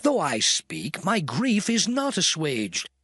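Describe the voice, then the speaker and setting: A man's voice: a little high, wildly swooping pitch, a bit bright, a little hiss or hum, heavily acted. An actor playing an anguished, suffering man lamenting in a dramatized Bible audio drama, declaiming mournfully in old King James English. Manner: declamatory, mournful, sweeping delivery with long pauses. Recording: music behind the voice. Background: dramatic music.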